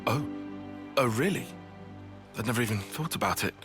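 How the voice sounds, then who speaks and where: An actor playing an earnest, considerate young comrade in a fantasy video game, speaking in a thoughtful, measured way. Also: male voice, medium pitch, expressive, lightly acted. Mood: sheepish, surprised. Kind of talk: video game cutscene acting. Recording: loud background music.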